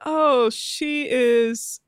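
A woman's voice: high-pitched, drawn-out words, clean tone. A woman co-hosting a casual podcast chat, reading posts aloud with amused disbelief. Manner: amused, incredulous, laughing. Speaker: podcast co-host.

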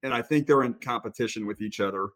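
A man's voice: mid-range voice, fast talker, fairly bright tone. Thoughtful male podcast host. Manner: thoughtful, lays out opinions at length. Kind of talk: conversational interview.

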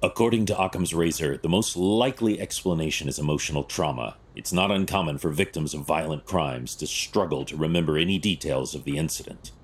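An actor playing a stern, analytical vigilante detective in an audio drama, terse and clinical, with a gravelly voice. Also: male voice, rumbling low, a little fast, hardly any pauses, full character voice. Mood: clinical, measured.

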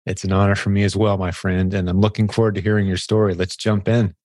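A man's voice: low voice, level tone, fast talker, a little mellow, dry recording, clean studio sound. A man hosting a conversational podcast interview in an encouraging, affirming way. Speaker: podcast host.

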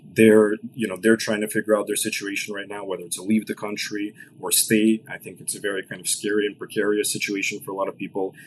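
A man's voice: on the low side, level tone, a little hiss or hum, poor audio. A man in his late twenties from Los Angeles, a founder talking about his business as a podcast guest, with many restarts. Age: late 20s. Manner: fast business talk with many restarts and "right?". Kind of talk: podcast interview.

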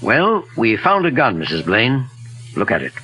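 An actor playing a kindly older gentleman detective with courteous, measured delivery in 1940s radio mystery style. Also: mid-range voice, huge pitch swings, poor audio. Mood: grave, explaining. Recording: raspy-edged old 1940s radio recording.

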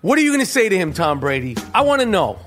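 A man's voice: sits high, big pitch swings, fast talker, fairly bright tone, faint music behind. A man hosting his podcast in casual chat, swearing often and stumbling over restarts. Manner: profane, stammering restarts. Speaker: podcast host.

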